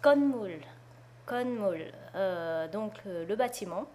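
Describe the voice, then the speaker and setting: A woman's voice: mid-range voice, moderate pace, darkish tone. A woman co-hosting a Korean lesson, explaining things casually. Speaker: co-host of a Korean language lesson. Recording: clear background noise.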